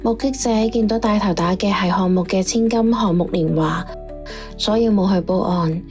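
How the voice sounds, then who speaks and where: A world-weary female informant voiced by a woman speaks at an ordinary pitch in a radio drama. She lays out findings plainly and with resignation, while music plays clearly behind her. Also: normal speed, darkish tone, lightly acted. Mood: resigned. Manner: matter-of-fact, resigned.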